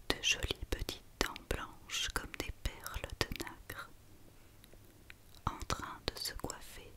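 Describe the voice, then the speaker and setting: A woman reads a children's story entirely in a whisper, in ASMR style. Her delivery is very slow, gentle and soothing, with a breathy, bright edge to every word.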